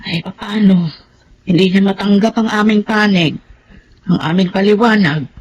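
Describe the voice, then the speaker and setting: A woman's voice: mid-range voice, moderate pace, lightly acted. A woman playing a sorrowful, longing middle-aged Filipina mother in a radio drama, with a plaintive way of speaking. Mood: resigned. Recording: faint background noise and ambience.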